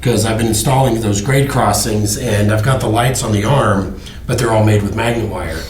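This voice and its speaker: A man with a hoarse voice from a cold, hosting a casual hobby chat with a rambling, upbeat way of explaining things. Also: fairly low pitch, fairly even intonation, moderate pace, echoey room, a little hiss or hum, poor audio. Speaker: host.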